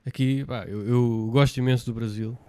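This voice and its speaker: A man's voice: mid-range voice, steady tone, moderate pace, a little mellow, smooth, no echo. A man with a European Portuguese accent co-hosting a casual political chat, blunt and scornful, often repeating himself. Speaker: podcast co-host.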